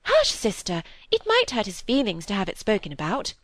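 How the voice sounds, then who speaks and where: A woman's voice: mid-range voice, huge pitch swings, moderate pace, fairly bright tone, no echo. A woman voicing a timid, sentimental older spinster from a storybook in a tender, piping voice. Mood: gently correcting.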